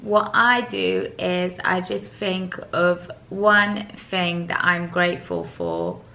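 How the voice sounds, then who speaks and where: A woman in her thirties chatting casually and giving calm, encouraging advice. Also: fairly low pitch, slow, vocal fry. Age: 30s. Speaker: co-host. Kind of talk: casual Q&A chat.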